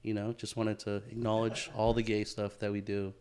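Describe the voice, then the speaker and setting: A man's voice: fairly low pitch, completely flat delivery, moderate pace, darkish tone. Rambling man joking crudely, lots of "dude". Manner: heavy use of "dude" and "like" fillers. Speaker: podcast co-host. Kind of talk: casual chat.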